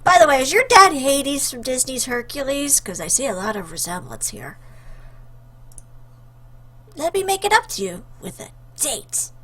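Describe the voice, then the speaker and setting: Chatty lady playing a game out loud, kinda high voice, jokey casual commentary. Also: sing-song, moderate pace, a little hiss or hum. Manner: joking, chatty. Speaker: let's play host. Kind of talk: casual playful game commentary with read-aloud choices.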